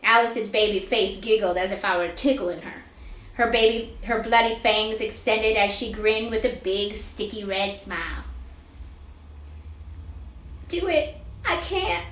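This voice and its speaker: A woman reading a story aloud at a live indoor reading, narrating expressively and deliberately. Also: medium pitch, fairly even intonation, a bit bright. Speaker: author reading own fiction aloud. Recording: echoey room live reading.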